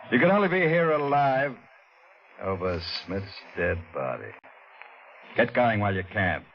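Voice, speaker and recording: Villain: silky low-voiced schemer, old noisy radio recording. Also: male voice, big pitch swings, slow pace, long pauses, muffled recording, full character voice. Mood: menacing. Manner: silky, menacing, drops to a low murmur. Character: smooth sinister older male schemer. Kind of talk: 1940s radio acting.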